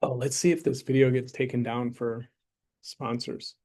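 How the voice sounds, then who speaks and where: A man teaching math to a high school class, talking through problems in a casual, joking way and checking in with the students as he goes. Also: little pitch movement, normal speed, a little mellow. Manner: joking, conversational, checks in with "right?" and "okay?". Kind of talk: classroom lesson with a mock sponsor plug.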